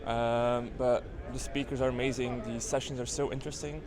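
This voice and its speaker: Male interviewee, friendly, loud crowd behind. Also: medium pitch, flat delivery, moderate pace. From Belgium. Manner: friendly, with frequent ums. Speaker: interviewee, hosting company worker. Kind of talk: conference interview. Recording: busy crowd noise behind.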